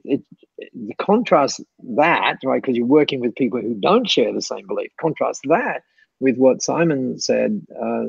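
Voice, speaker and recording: A man's voice: mid-range voice, vocal fry, a little mellow, slightly rough, no room sound. A man answering questions as a guest speaker in chatty, repeating speech that breaks into laughs, on a dull lo-fi call recording. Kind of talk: mentoring Q&A on a call.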